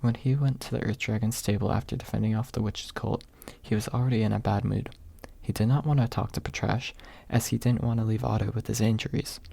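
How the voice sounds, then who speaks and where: A man narrating an ASMR audiobook in a hushed, husky voice, close to the microphone. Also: on the low side, fairly even intonation, fairly quick, no room sound. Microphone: close microphone, dry.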